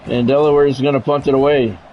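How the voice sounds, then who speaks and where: A man's voice: medium pitch, fairly even intonation, fast. A man doing live play-by-play commentary over a football video game, in terse announcer phrases with candid asides. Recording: dull, lo-fi mic with faint background noise.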